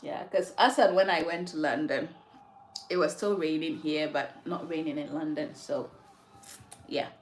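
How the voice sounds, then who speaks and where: A woman's voice: low voice, fairly even intonation, normal speed, low-quality recording. A woman from Ghana hosting a casual livestream from her home, talking reflectively about faith and answering viewers' comments. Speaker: livestream host.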